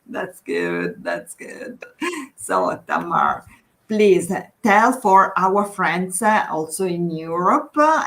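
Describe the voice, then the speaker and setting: An Italian woman with an Italian accent hosting a casual livestream chat over a video call, laughing often and speaking in halting phrases. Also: fairly low pitch, vocal fry, fairly bright tone. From Italy. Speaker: host.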